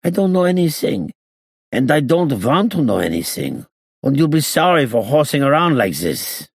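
An irritable, surly gangster from a crime audiobook, as a male narrator acts him out. His voice is pushed up high and swings around as he mutters and protests, with a rough husky edge. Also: sing-song, normal speed, no echo, clean studio sound. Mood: resentful. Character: Hincus, surly, agitated gangster just freed from ropes.

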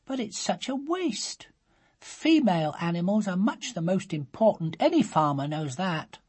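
A woman's voice: lowish voice, sing-song, measured pace, a little mellow, slightly rough, pitched above the performer's own voice, full character voice. A woman voicing a determined little girl who wants a farm, talking in an earnest, stubborn way. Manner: earnest, stubborn, sulky when thwarted.

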